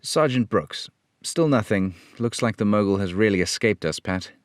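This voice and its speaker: A man playing an earnest, fatherly police detective in an old radio serial style, measured and authoritative. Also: fairly low pitch, lively intonation, dry recording, clean studio sound, lightly acted. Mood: brisk.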